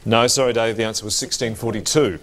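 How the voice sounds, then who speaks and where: An actor playing a smug quiz show host who mocks a losing contestant with dry, halting asides. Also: male voice, on the low side, steady tone, heavy background noise, lightly acted. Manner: dry, cutting, hesitant asides.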